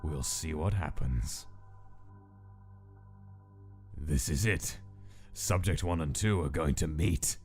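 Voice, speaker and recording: A man voicing a cold, clinical scientist who dictates experiment notes in a measured, detached, deliberate way for a comic dub. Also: very deep voice, a little mellow. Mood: detached, musing. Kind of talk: scripted comic dub, log-style narration. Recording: voice under prominent music.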